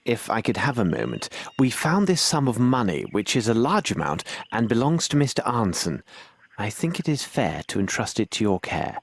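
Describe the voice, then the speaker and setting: An aloof detective for a video game. His voice sits fairly low and moves with animated intonation. He speaks formally and commands everyone around him. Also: normal speed, creaky, breathy edge, heavily acted. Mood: composed, courteous. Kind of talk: video game cutscene acting.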